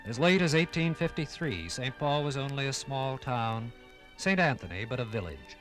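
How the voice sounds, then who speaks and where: Instrumental music runs clearly under a male announcer narrating a vintage radio history. He is mid-pitched, with measured, emphatic phrasing. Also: animated, fairly bright tone, low-quality recording. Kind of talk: 1950s scripted radio documentary narration.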